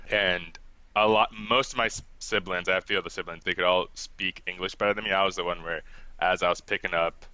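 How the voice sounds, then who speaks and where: A young man who grew up in Ghana, talking casually as a podcast guest, relaxed and chatty and quick to laugh. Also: sits low, smooth, no echo, low-quality recording. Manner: relaxed and chatty, laughs often, many "like" fillers. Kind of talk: casual interview talk.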